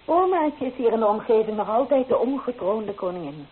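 A woman's voice: a little high, lively intonation, a little fast, close to an everyday voice. An actress playing a proud, genteel middle-aged provincial society lady in a Dutch radio drama. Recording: tinny old radio play recording.

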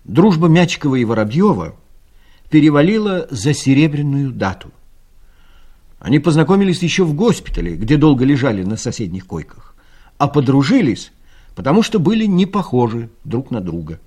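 Lively male storyteller with mid pitch. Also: moderate pace, husky. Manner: expressive storytelling. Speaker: narrator. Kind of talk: scripted audio play narration.

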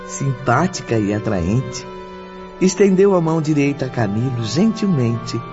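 A male radio drama narrator with a solemn, literary delivery. He speaks slowly and with very lively pitch movement, over clearly audible music. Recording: dull sound, music behind.

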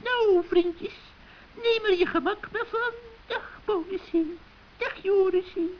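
A very high, shrill old witch for a children's radio play. She is scheming and scornful, cackling and taunting in mock-sweet tones. The old recording is dull and muffled. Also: slow pace. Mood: gloating.

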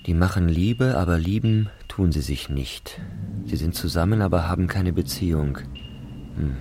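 An actor playing a skeptical, blunt male friend in an audio drama, asking probing questions, with clear background noise. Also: deep voice, moderate pace, lightly acted.

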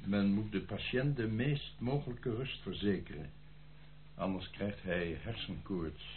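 An actor playing a grave, calm family doctor in a radio drama, speaking in a measured, formal way. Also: male voice, on the low side, close to an everyday voice. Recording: muffled old radio play, faint hiss.

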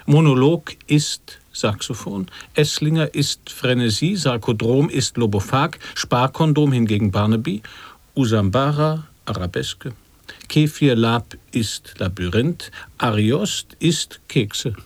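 A man's voice: medium pitch, lively intonation, no echo. An actor playing a solemn man who pronounces nonsensical definitions as if they were logic, in an experimental radio drama. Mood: deliberate. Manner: deliberate, pseudo-logical pronouncing.

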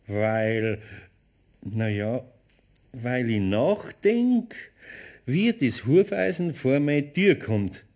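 A good-natured older master craftsman in a radio play. His medium-pitched voice has a Bavarian accent, and he grumbles and mutters in a patient, dry, natural way. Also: big pitch swings, drawn-out words, long pauses, a little mellow, full character voice. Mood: dry, thoughtful.

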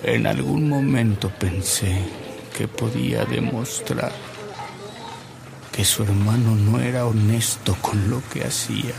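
A slow, gravelly, devout older Mexican man. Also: fairly low pitch, steady tone, background noise, heavily acted. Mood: solemn, reverent. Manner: solemn, earnest, deliberate, with dramatic pauses. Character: frail, devout older man, a converted skeptic, reverent and earnest. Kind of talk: radio drama acting.

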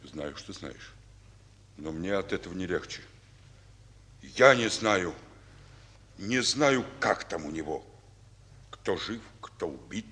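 In a long-reverb old radio recording, a fatherly, worn-out Soviet general speaks. His voice is low and husky, measured and confiding, with the weight of command. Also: lively intonation, sharp tone, heavily acted. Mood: troubled, grave. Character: weary, fatherly male Soviet army general. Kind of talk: Soviet radio drama acting.

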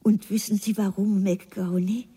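An actress playing a wistful runaway lady in a German radio play, gentle, deliberate and confiding, in a reverberant sound. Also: mid-range voice, flat delivery, breathy edge. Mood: dreamy, relieved. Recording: long hall-like reverb.

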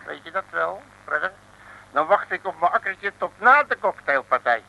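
An actor playing a blustering, streetwise detective with a Dutch accent in an old comic radio serial, exasperated and full of slangy interjections. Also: male voice, high-pitched, animated, normal speed, creaky, pushed and bright, highs cut off, heavily acted. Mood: exasperated, threatening. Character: blustering, streetwise Dutch male private detective, excitable and indignant. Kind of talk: 1950s-60s comic radio serial acting. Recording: noisy vintage radio recording.

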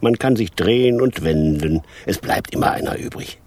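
A man acting a sly, mockingly courteous devil in a radio play, with drawn-out, theatrical politeness. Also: low-pitched, animated, darkish tone. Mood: sly, explaining.

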